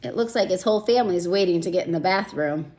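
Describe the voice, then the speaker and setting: A woman's voice: on the low side, fast. A woman reading a children's picture book aloud and stopping to explain her thinking, like a teacher. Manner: gentle, expressive storybook reading with thinking-aloud asides. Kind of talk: children's read-aloud with comments.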